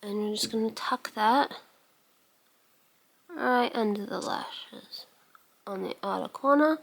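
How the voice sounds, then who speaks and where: A woman's voice: fairly high pitch, takes it slowly, creaky, poor audio. A woman giving a casual makeup tutorial as a beauty vlogger, musing aloud as she works and letting sentences trail off.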